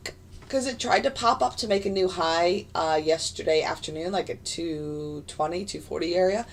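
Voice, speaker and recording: A woman with a raspy voice and hiccups, chatting casually and rambling as she streams live trading commentary from her desk. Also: lowish voice, moderate pace, a little hiss or hum. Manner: chatty, rambling, many fillers and restarts. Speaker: futures day trader streaming live. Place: home desk in front of a computer.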